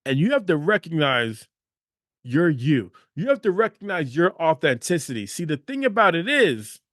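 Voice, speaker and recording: A man's voice: fairly high pitch, big pitch swings, moderate pace, fairly bright tone, no room sound, studio quality. A man hosting a motivational podcast, talking emphatically, repeating phrases and checking in with "okay?". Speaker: podcast host.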